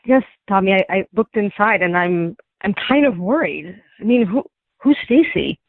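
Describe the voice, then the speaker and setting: A woman's voice: medium pitch, lively intonation, moderate pace, no echo. A woman calling in to a radio show, having an emotional conversation over the telephone. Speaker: caller, wife on a radio prank call. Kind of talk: emotional phone confrontation. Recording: dry, muffled phone line sound.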